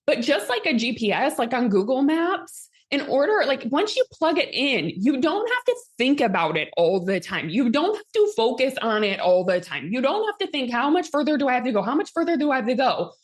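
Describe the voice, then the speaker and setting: A woman's voice: high voice, very animated, brisk, few pauses. A woman in her thirties, a coach leading a live session in an upbeat manner, her voice a little strained from talking a lot. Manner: upbeat, reads out audience comments. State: slightly strained voice, healing. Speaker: host and manifestation coach.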